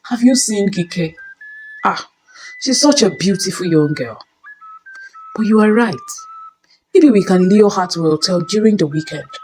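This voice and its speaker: A woman's voice: medium pitch, lively intonation, a little slow, long pauses, darkish tone, faint music behind, natural voice, rough recording. A woman voicing an insinuating middle-aged male schoolteacher in a story. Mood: conspiratorial. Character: leering middle-aged male schoolteachers gossiping about a pupil.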